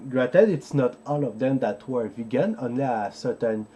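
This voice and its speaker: A man, an English speaker, hosting an informal history explainer in a halting, digressive way as he searches for words. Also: mid-range voice, lively intonation, warm tone, slight background noise. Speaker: host of a history video series. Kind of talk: informal explainer with live translation from a book.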